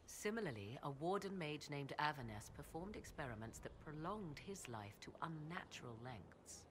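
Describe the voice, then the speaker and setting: An actress playing a proud, sardonic sorceress in a video game, with archaic, formal, haughty diction. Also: female voice, low voice, big pitch swings, normal speed, noisy background. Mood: composed, wistful. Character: proud, sardonic sorceress, devoted mother and lover. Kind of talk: dramatic video game dialogue.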